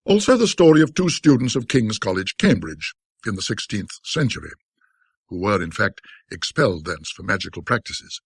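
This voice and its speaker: A low-voiced man reads ghost stories in an old-fashioned, theatrical literary manner. His voice is husky and moves expressively up and down, with scholarly wryness and well-placed pauses. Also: sing-song, no echo, studio quality. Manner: wry, scholarly, self-deprecating storyteller, with dramatic pauses. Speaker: narrator of classic ghost stories.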